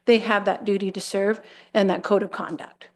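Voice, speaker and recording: A woman's voice: medium pitch, little pitch movement, moderate pace, a little mellow. Plain-spoken woman enforcement manager. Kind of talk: council meeting explanation.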